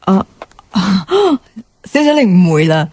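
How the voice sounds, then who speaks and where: A woman's voice: mid-range voice, very animated, slow, a little mellow, breathy edge, dry recording, exaggerated voice. An actress playing a guarded, polite young woman in naturalistic radio drama dialogue. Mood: awkward, curious.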